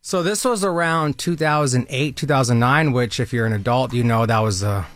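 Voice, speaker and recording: A man in his thirties talks in a persuasive, friendly way, like a recruiter on a radio interview. His voice sits at a middle pitch. The sound is dry and close with no room echo. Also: moderate pace. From Southern California. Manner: upbeat, persuasive, conversational. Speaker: military recruiter guest.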